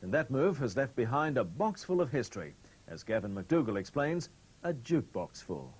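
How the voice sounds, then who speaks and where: A man's voice: mid-range voice, big pitch swings, normal speed, darkish tone, slight background noise. A man with an Australian accent reading scripted news as the anchor. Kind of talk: scripted newsreading.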